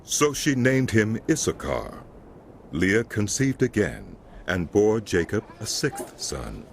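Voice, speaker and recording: Deep, expressive male Bible narrator. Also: slow pace, gravelly, slight background noise. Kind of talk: scripted dramatic Bible narration.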